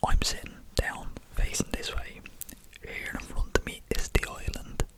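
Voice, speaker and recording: A young man with an Irish accent, whispering an animated story to his viewers and swearing casually as he goes. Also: moderate pace. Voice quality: whispering throughout. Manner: animated, swears casually, addresses listeners as lads. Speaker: vlogger telling a story.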